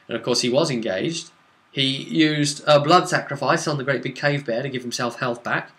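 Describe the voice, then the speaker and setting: A man's voice: medium pitch, moderate pace, a bit bright. A man casually recapping a tabletop role-playing session as game master, explaining conversationally with dramatic asides.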